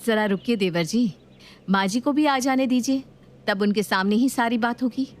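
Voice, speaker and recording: A woman's voice: on the high side, moderate pace, voice pushed up, lightly acted. A woman voicing a proud, defiant widowed mother in a cartoon, speaking firmly and sharply. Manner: firm, sharp.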